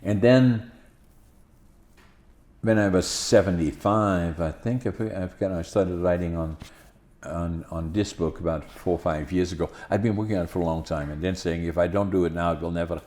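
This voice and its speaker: An older man with a Dutch accent whose English is his second language, speaking in a low, mellow voice. He pauses often and restarts his phrases as he talks, in an unhurried podcast conversation. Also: fairly even intonation. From Netherlands. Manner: halting, many restarts and fillers. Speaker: podcast host. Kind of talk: casual conversation.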